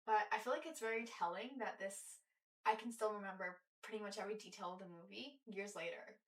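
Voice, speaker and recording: A young woman co-hosts a casual podcast, talking in a loose, rambling way full of fillers. Her voice sits at a middle pitch. It sounds roomy and a little far from the mic. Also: fairly quick. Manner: chatty, many fillers and restarts. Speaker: podcast co-host. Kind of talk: casual chat. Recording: roomy echo, distant mic.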